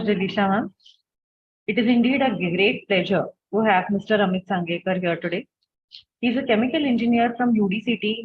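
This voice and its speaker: A woman reading a prepared introduction in a formal tone. Her voice is slightly husky. It comes through a tinny, phone-like line. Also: medium pitch. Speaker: conference co-convener. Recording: tinny phone-like call audio.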